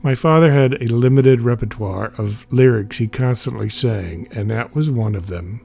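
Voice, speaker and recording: A man's voice: mid-range voice, normal speed, gravelly, dark tone, soft background music. A man giving a scripted narration, reading a memoir-style comic aloud.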